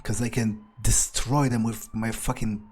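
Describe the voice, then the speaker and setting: A man's voice: fairly low pitch, level tone, a little slow, a little mellow, husky. A man playing a sardonic, grumbling vampire who thinks aloud in casual, sweary monologue for a game roleplay. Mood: musing. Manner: grumbling, sarcastic, swears freely. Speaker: game player narrating in character.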